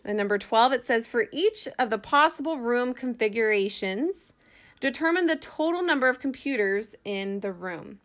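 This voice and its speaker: A woman's voice: fairly high pitch, lively intonation, normal speed, fairly bright tone. A woman math teacher giving an informal lesson, thinking aloud as she explains worked examples step by step in a casual way.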